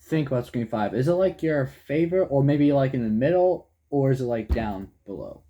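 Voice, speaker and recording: A young man co-hosting a casual movie review chat, loose and excitable, with restarts and the odd swear word. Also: medium pitch, moderate pace, lo-fi sound, slight background noise. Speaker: co-host of a movie review channel.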